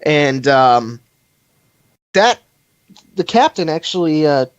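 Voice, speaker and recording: A man with a slightly high voice talks through a casual fan podcast in a halting, rambling way. The sound is dry and a little dull, close and without room. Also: expressive, no room sound. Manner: halting, rambling, chuckles often. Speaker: live stream host.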